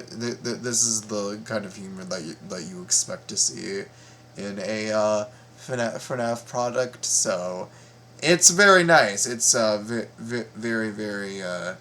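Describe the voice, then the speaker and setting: A man hosting a reaction video, commenting casually in a halting, stammering way and repeating his words. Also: fairly low pitch, sharp tone, a little hiss or hum.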